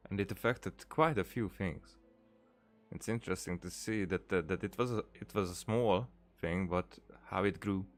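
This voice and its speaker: Deep-voiced man, halting and musing. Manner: halting, musing, repeats words. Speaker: game streamer. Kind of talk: casual reflective let's play commentary. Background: faint game music.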